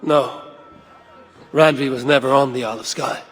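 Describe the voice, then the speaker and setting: An actor playing a blunt, hot-headed male Viking warrior in a video game cutscene, husky and dryly terse. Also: medium pitch, steady tone, moderate pace, a bit bright, faint music behind, natural voice. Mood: calm.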